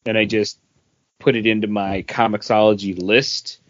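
A man's voice: on the low side, little pitch movement, normal speed, no echo. A man hosting a podcast in a casual, conversational style. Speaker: podcast host. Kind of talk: casual chat. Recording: dull lo-fi, dry close sound.

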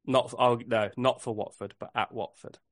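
A man hosting a casual podcast quiz, reading clues slowly and repeating them. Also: medium pitch, fairly even intonation, dry recording. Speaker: podcast host and quizmaster.

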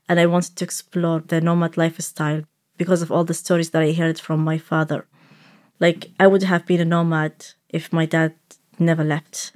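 A young woman filmmaker whose first language is Arabic, telling her own story in a reflective way, often pausing on "like" and restarting. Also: low voice, darkish tone. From Saudi Arabia. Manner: reflective, with frequent "like" fillers and restarts. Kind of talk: personal storytelling. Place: radio studio.

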